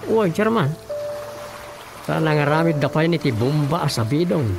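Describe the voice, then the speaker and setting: An opinionated village councilman with an Ilocano accent. His voice is a little high and lightly creaky, conversational and grumbly, over clear background music. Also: animated, normal speed. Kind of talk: radio drama acting. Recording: music bed under dialogue.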